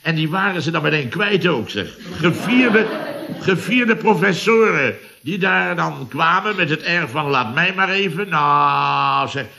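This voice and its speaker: An actor playing a grumbling, sarcastic middle-aged builder boss, blustering and exasperated, in 1970s Dutch radio comedy style. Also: male voice, fairly high pitch, expressive, measured pace, creaky, sharp tone, heavily acted. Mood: indignant.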